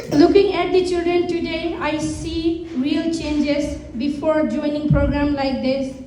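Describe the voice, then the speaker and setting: A woman from Nepal with a Nepali accent, a former youth soccer coach who reads a prepared speech at a gala and shifts between English and Nepali at an unhurried pace. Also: high voice, dark tone, echoey room, a little hiss or hum, rough recording.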